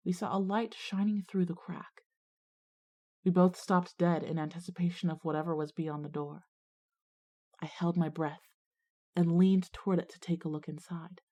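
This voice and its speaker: Low-voiced schoolgirl leader played by a woman. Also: a little mellow, lightly acted. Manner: decisive, organizing. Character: resourceful, take-charge schoolgirl narrator and group leader. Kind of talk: audio drama dialogue and first-person narration.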